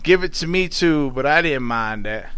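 A man voicing an elderly, proud and sorrowful formerly enslaved mother, telling her story in halting folksy dialect. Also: fairly high pitch, lively intonation, a bit bright, clean tone. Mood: sorrowful, defiant. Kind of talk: dramatic read-aloud of a dialect tale.

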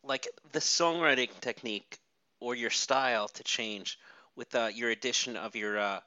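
An interviewer reading a formal introduction and asking questions, sometimes halting with uhs. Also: medium pitch, no room sound. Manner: lively questions with some halting fillers. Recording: dull lo-fi dry recording.

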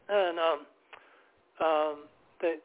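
A male caller with a rough voice today, talking hesitantly and repeating words. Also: on the high side, sing-song, extremely slow. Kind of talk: casual phone conversation. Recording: phone-like radio line.

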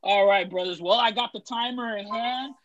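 A man hosting a casual podcast, relaxed and easygoing, with many filler words. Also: high voice, moderate pace, no echo. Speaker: podcast host. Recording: dull, dry lo-fi sound.

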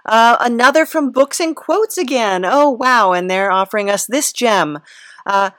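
A woman's voice: on the high side, animated, a little slow, a bit bright, no room sound. A woman hosting a comic interview in a casual, rambling, hesitant way with a wry touch. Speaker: podcast host, interviewer.

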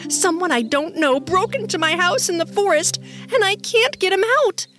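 Woman putting on cute female rabbit, very high voice, desperate and pleading, animated, storybook, music behind. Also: normal speed. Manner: pleading, expressive. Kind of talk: children's storybook character acting.

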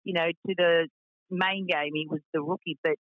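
A woman with a deep voice who owns a racing team, talking frankly with lots of fillers in a radio interview. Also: fairly even intonation, moderate pace, no room sound, phone-quality sound. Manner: frank, chatty, many fillers.

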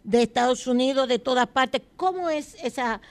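A woman's voice: high voice, normal speed. A woman with a Dominican accent asking questions and bantering as a radio panelist, blunt in manner.

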